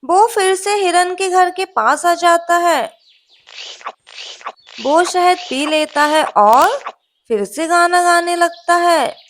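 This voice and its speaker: A woman with a very high voice narrates a children's fairy tale. Her delivery is slow and scripted, in storyteller fashion.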